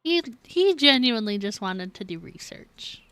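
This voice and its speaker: A woman's voice: mid-range voice, very animated, moderate pace, fairly bright tone, clear, resonant tone, no room sound. A woman streaming a game, giving casual, playful live commentary in a chatty way.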